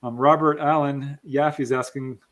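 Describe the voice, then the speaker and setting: A man's voice: mid-range voice, steady tone, moderate pace, a bit bright, slight background noise. A man hosting an interview over a video call, steadily moderating and reading out viewer questions. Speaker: host.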